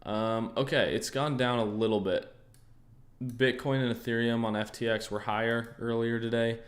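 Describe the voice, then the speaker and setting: A young American man hosting a casual livestream, easygoing and thinking aloud, often pausing on fillers and restarting his sentences. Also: medium pitch, steady tone. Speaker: trading livestream host. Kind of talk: casual livestream chat answering viewers.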